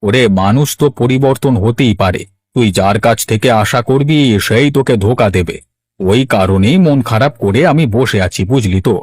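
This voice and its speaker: A man's voice: medium pitch, moderate pace, a little mellow, no echo, natural voice. Weary, kindly old village man voiced by a man. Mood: disappointed, dismissive. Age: older. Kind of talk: Bangla cartoon voice acting.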